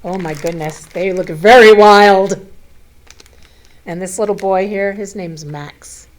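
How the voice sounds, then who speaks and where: A woman reads a children's story at a slow, easy pace. Her voice sits at a medium pitch, and she is expressive, speaking directly to young listeners with simple, chatty explanations. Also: low-quality recording. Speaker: school superintendent reading a picture book to children.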